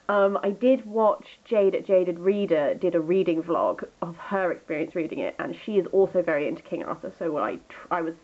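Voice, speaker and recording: Stuffy-nosed woman chatting over a thin line. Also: lowish voice. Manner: chatty, rambling with many ums. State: stuffy with a cold. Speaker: book vlogger. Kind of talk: casual book review vlog. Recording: phone-like narrow sound.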